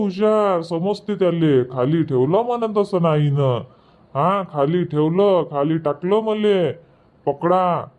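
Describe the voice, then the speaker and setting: A man's voice: fairly high pitch, darkish tone, close to an everyday voice. A man voicing an older, doting farmer grandfather in a cartoon comedy, homely and indulgent, with a rural Vidarbha Marathi accent.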